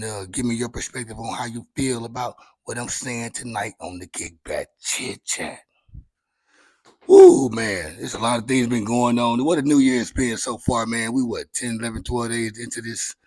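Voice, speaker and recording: A man hosting his own talk show, chatting casually in a loose, candid way full of slang and swearing, with a raspy voice. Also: medium pitch, dry recording, poor audio. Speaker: podcast host. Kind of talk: casual solo chat.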